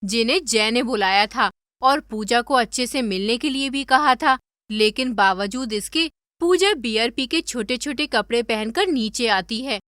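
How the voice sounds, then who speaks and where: A woman narrating a scripted animated story as its storyteller. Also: on the high side, a little slow, no room sound, clean studio sound.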